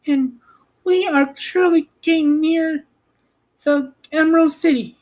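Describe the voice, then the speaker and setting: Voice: high-pitched, fairly even intonation, slow pace, darkish tone, voice pushed up, low-quality recording. A man voicing a brave, polite and earnest young farm girl in a storybook reading. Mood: hopeful.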